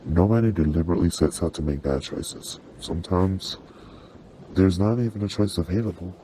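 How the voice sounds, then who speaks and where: A performer with a voice changer, playing a gentle, apologetic sleep paralysis demon who soothes and reassures in an ASMR roleplay. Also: deep voice, normal speed, slight background noise. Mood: tender, encouraging.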